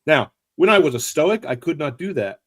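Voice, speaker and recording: A man's voice: fairly high pitch, very animated, normal speed. Wry man holding forth emphatically. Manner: wry, emphatic and self-mocking. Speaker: guest author. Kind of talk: casual livestream conversation.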